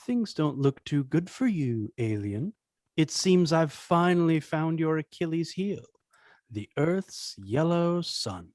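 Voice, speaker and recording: A smug villain mastermind, played by a man at a table read, mocking and condescending. The voice is fairly high for a man and sounds close and dry. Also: very animated, slow pace, darkish tone, dry recording. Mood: smug, taunting. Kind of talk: amateur script table read.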